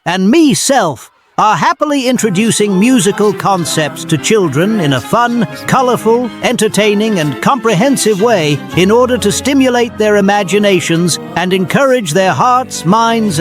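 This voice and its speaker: A man's voice: sits high, lively intonation, moderate pace, hardly any pauses. A man doing a quaint old music-teacher storyteller. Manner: quaint storyteller speech, says "me" for "my". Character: old male wizard-like music teacher, kindly, boastful. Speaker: narrator of a children's music series.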